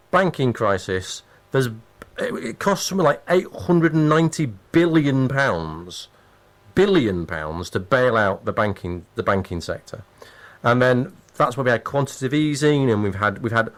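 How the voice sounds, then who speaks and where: A man hosting a conversational political radio interview, speaking emphatically and repeating phrases to drive points home. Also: mid-range voice.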